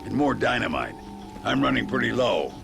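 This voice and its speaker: An actor playing a gravelly old-timer ally in a Western video game. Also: male voice, mid-range voice, sing-song, moderate pace. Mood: measured. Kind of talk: video game cutscene acting. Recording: loud music behind the voice.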